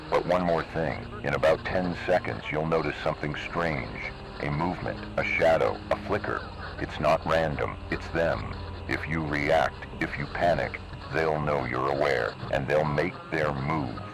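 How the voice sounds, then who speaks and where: A man voicing a cold, menacing emergency broadcast announcer in slow, measured, ominous narration. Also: a bass voice, creaky, full character voice. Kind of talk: scripted analog horror narration. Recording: noisy analog video recording.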